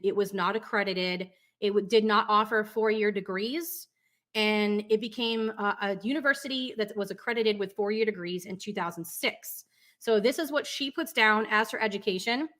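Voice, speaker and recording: Skeptical woman commentator, mid-pitched voice. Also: a bit bright. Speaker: commentator fact-checking a clip. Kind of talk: casual explanatory commentary.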